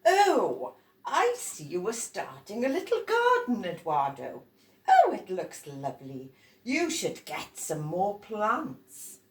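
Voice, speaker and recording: A woman's voice: mid-range voice, wildly swooping pitch, slow pace. Woman voicing a gushing, admiring storybook grown-up. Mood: delighted. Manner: effusive, emphatic exclamations. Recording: roomy echo, distant from microphone.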